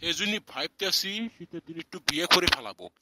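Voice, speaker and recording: A man's voice: fairly high pitch, animated, fast, very noisy, natural voice. A man voicing a proud old village uncle in a comic cartoon, with a simple, plaintive way of talking. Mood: plain. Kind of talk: comic Bangla cartoon dialogue.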